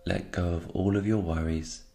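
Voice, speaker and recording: Very low, creaky male meditation guide. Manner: calm, soothing, unhurried pauses. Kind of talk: slow guided meditation narration.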